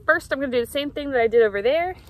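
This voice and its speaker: A woman's voice: high-pitched, expressive, rapid-fire. A woman in her thirties talking casually and explaining as she works, hosting a gardening vlog outdoors. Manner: explanatory, conversational. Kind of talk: casual instructional vlog talk while working. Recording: clear outdoor background noise.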